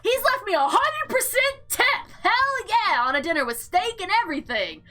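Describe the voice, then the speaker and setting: A woman hosting a visual novel playthrough, reading aloud and reacting with animated, slangy exclamations over background music. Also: very high voice, moderate pace. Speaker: gaming video host.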